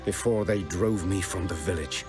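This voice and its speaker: An actor playing a weary outcast village hunter in a video game, rustic, plainspoken and guarded. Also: male voice, low voice, normal speed, music in the background, natural voice. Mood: sombre, guarded.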